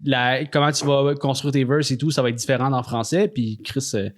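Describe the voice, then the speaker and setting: Rambling Quebec podcast co-host. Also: male voice, mid-range voice, steady tone, brisk. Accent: Quebec French. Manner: rambling, repeats words, loses his thread. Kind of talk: casual podcast conversation.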